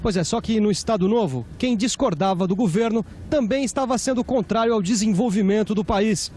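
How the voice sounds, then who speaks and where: A Brazilian man narrates educational material from a script as a presenter. His voice sits notably high for a man. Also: a little fast, a little mellow, slight background noise.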